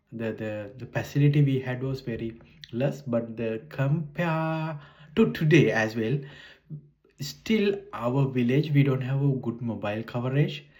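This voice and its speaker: A man in his forties with a Sri Lankan accent talks casually to his audience in unscripted English, his second language after Sinhala. His voice sits in an ordinary middle pitch, and he speaks hesitantly, pausing and restarting as he searches for words. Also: a little mellow, highs cut off. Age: 40s. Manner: hesitant, halting non-native English with frequent restarts. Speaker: vlogger.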